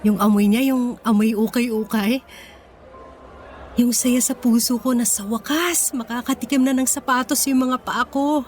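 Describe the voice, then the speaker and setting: A woman's voice: fairly high pitch, fairly even intonation, measured pace, darkish tone, natural voice. A woman acting in a Filipino radio drama as a forgiving, grateful older sister, speaking in an earnest, sentimental way. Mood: nostalgic. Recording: music behind the voice.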